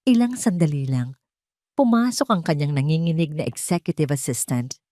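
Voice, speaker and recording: A woman narrating a scripted dramatic love story in a measured, dramatic way. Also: low-pitched, animated, warm tone, dry recording, studio quality.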